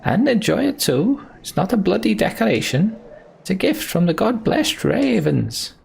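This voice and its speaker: A world-weary fantasy game warrior, acted by a man, pitched well up and full of coarse, blunt banter. There is faint noise on the recording. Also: sing-song, moderate pace, vocal fry, darkish tone, exaggerated voice. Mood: gruff banter.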